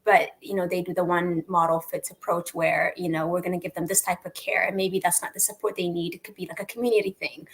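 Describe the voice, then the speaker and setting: Reflective woman hosting a panel, lower voice. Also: creaky, fairly bright tone, poor audio. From Ethiopia. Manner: reflective, many fillers and restarts. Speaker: host. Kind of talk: panel discussion.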